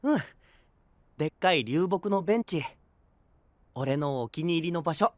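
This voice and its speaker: 海の国を守る若い青年を演じる男性声優。柔らかく内省的で、真摯な話し方。. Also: male voice, fairly high pitch, expressive, moderate pace, no room sound, lightly acted. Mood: caring. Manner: soft, introspective, earnest. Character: gentle young male prince of a sea kingdom. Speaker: voice actor. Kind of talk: anime voice drama.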